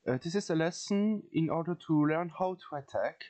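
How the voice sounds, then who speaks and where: A man from France with a French accent gives an informal lesson as a video host, haltingly searching for words and repeating phrases. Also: a little high, a little mellow. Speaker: chess teacher, video host. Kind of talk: informal instructional commentary.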